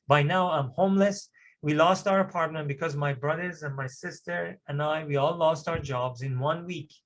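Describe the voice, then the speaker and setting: A man's voice: on the high side, a bit bright. A middle-aged man from Iran, a native Persian speaker, giving an earnest personal testimony at a church service, with emphatic swells as he tells his story.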